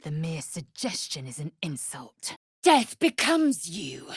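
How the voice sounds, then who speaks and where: A woman's voice: deep voice, very animated, slow pace, breathy edge, no room sound, clean studio sound, full character voice. A woman playing a proud, fierce alien warrior in a fantasy video game, with a curt, imperious and disdainful delivery. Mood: menacing.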